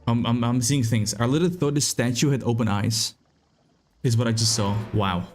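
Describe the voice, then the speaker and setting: A young man with a Czech accent talks loosely at his desk mic while he plays, reading out viewers' messages and cursing now and then. His voice sits at a middle pitch, and faint game music plays behind him. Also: a little fast. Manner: laid-back, swears, reads chat aloud. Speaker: game streamer. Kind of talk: casual live-stream chat.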